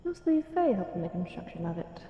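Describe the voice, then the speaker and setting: A woman voicing a courteous, eerie fae librarian in an intimate audio roleplay, softly musing and hesitant, with an echo effect on the voice. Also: fairly low pitch, big pitch swings, brisk, muffled recording, slight background noise. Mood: helpful, amused. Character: gentle, courteous, eerie fae librarian, ancient otherworldly being. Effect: echo added to voice. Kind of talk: audio roleplay, intimate one-sided dialogue to listener. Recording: echoing voice with long reverb.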